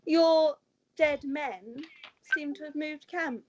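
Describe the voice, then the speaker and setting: A woman's voice: sits high, slow, highs cut off, noisy background. A woman playing a haughty young nobleman ranger in an amateur fantasy table read. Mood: sceptical.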